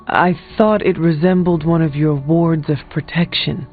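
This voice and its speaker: Man acting solemn hunter, higher voice, narrow-band audio. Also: fairly even intonation, faint music behind, natural voice. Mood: serious. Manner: serious, formal, deliberate. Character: solemn, determined warrior hunter from the past. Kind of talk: video game character dialogue.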